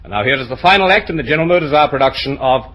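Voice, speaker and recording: A man making formal, measured scripted announcements on old-time radio. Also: medium pitch, animated, a bit bright, rough recording. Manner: solemn, measured oratory. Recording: old radio broadcast recording.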